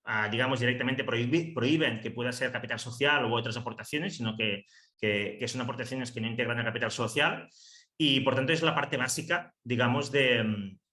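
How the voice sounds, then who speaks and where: A man's voice: medium pitch, a little fast, bright, forceful tone, echoey room. A man whose first language is Catalan, giving an online seminar talk in a hesitant way, with many fillers and restarts. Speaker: seminar speaker on housing cooperatives.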